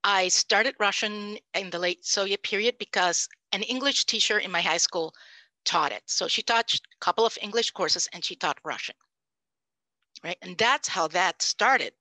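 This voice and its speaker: A Puerto Rican woman in her fifties, a native Spanish speaker, giving an animated, emphatic panel talk over a headset microphone on a video call. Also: mid-range voice, normal speed, no room sound. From Puerto Rico. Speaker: panelist, language professor. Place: home room, indoors. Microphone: headset mic.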